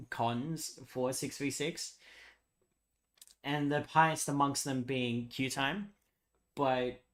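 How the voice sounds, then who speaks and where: A hoarse young man chatting casually with viewers on a live stream, rambling, laughing often and swearing freely. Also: mid-range voice.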